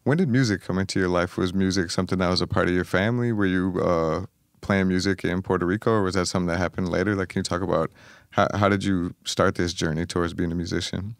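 A man's voice: low-pitched, fairly even intonation, fast talker, clean tone, no echo. A man hosting a podcast in a recording studio, friendly and eager, with many hesitant fillers. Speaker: podcast host. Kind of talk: casual interview hosting.

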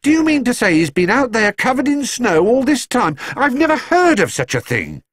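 A fussy, bustling housekeeper lady scolding with high lifting anxiety, as a male storyteller puts her on. Her lines leap up high and swing widely. The voice is a touch husky and dry. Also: huge pitch swings, normal speed, a bit bright, no room sound, heavily acted. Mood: fretting. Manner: bustling, anxious. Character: fussy middle-aged British housekeeper woman.

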